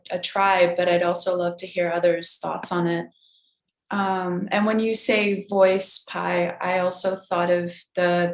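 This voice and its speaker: Woman poet, slightly low voice, academic and searching, echoey video-call sound. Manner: searching, restarts phrases, academic wording. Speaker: poet answering a question. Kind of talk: thoughtful panel answer.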